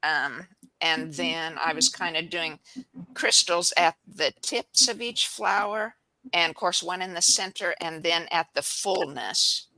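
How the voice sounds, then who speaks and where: A woman's voice: on the low side, a little slow, bright, forceful tone, no echo, poor audio. A woman hosting a podcast who asks her questions in a casual, slightly hesitant way and checks herself partway through. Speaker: podcast host. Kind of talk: casual interview chat.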